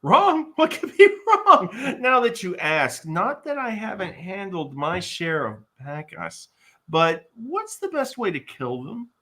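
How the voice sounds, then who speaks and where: A man's voice: sits high, wildly swooping pitch, slow, bright, forceful tone, heavily acted. Man playing a blustering, swooping comic sidekick. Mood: panicky. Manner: blustering, nervous, exaggerated. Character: jittery, boastful bumbling male would-be warrior sidekick. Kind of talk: comic live table read.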